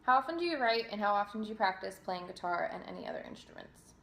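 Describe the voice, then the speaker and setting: A young woman from New Jersey chats through viewer questions in a relaxed, self-deprecating way, often restarting and trailing off. Her voice sits at a middling pitch, and the room gives it a noticeable echo. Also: fast talker, gravelly. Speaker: singer and vlogger. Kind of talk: casual Q&A reading viewer questions. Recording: roomy echo.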